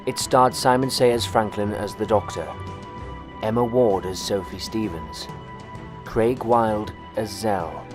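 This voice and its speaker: Guy with a lowish voice reading out drama credits, music playing behind him. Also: slow pace. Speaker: credits announcer. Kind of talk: scripted credits read.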